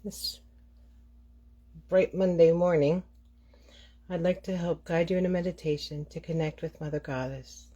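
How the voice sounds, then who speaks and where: A woman leading a guided meditation as the guide, speaking in deliberate phrases with frequent pauses. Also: fairly low pitch, fairly even intonation, warm tone, breathy edge.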